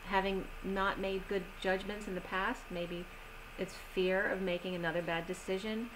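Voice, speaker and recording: Woman tarot reader, calm slow delivery, voice a bit worn, noisy background. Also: medium pitch. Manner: slow, soothing reading of oracle cards with gentle pauses. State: losing her voice. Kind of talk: solo tarot reading video.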